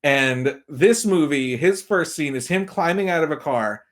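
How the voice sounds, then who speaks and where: A man's voice: a little high, lively intonation, unhurried. A man speaking as a podcast guest and film commentator in casual, humorous chat.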